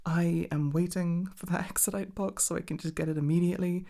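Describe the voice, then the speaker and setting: A woman's voice: fairly low pitch, steady tone, a little fast, gravelly, mellow. A woman rambling casually in an ASMR chat, enthusiastic and sweary, with hesitant, meandering delivery. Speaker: hobby ASMR content creator.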